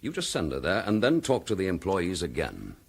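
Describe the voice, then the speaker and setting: An actor playing an accused chief inspector, dogged and defiant, speaking in a firm, measured, indignant manner in a radio drama. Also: male voice, sits low, huge pitch swings, vocal fry, slightly rough, exaggerated voice. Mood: confident. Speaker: police detective.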